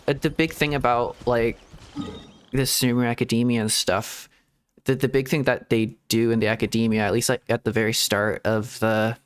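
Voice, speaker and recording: A man streaming a video game, thinking aloud in a rambling, reflective way with hedges and soft laughs. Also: medium pitch. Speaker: VTuber streamer. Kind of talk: casual gameplay commentary.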